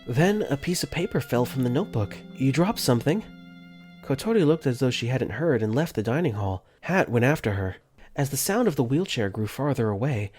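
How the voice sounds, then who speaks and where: A young man voicing an earnest, caring dorm caretaker for a fan dub, gently narrating his own thoughts in first person. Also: mid-range voice, husky. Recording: faint music under the voice.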